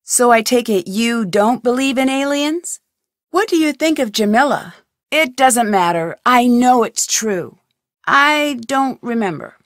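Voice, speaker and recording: A bright, inquisitive young girl detective for a mystery game, her voice sitting a touch high and swinging freely up and down as she muses and questions. It is recorded close and dry in a studio, with no room sound around it. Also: no room sound. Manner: inquisitive, thinking aloud while investigating. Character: young female amateur detective, curious and persistent. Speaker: game protagonist. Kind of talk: game voice acting. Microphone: studio microphone.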